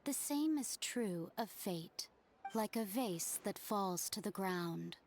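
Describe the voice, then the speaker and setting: Slow, enigmatic mysterious woman. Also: medium pitch, expressive, soft background music. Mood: calm, ominous. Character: calm, cryptic mysterious female being, fatalistic. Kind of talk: video game voice acting.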